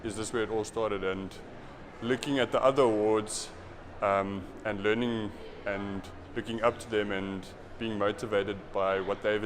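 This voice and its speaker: A young male researcher speaking reflectively and a bit hesitantly in an interview after an awards evening. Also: fairly low pitch. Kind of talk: event interview. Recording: noisy event recording.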